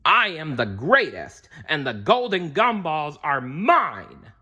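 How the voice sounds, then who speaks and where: A man's voice: a little high, very animated, slow pace, bright, forceful tone, breathy edge, voice pushed up, exaggerated voice. A man voicing a boastful mad scientist in a storybook, announcing grandly like a showman. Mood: booming, domineering. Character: boastful, booming mad gum scientist, male.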